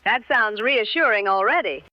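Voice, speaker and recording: Woman acting a deadpan vintage radio co-host. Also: on the high side, normal speed, dry recording, close to an everyday voice. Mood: dryly amused. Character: dry, deadpan female 1960s radio co-host. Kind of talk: 1960s radio show banter. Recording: dull lo-fi vintage radio sound.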